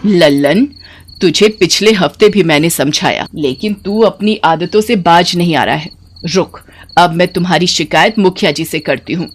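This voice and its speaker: A woman's voice: lowish voice, moderate pace, slight background noise, natural voice. A woman voicing a fierce, protective middle-aged village mother in a storybook tale, scolding and threatening. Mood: stern warning.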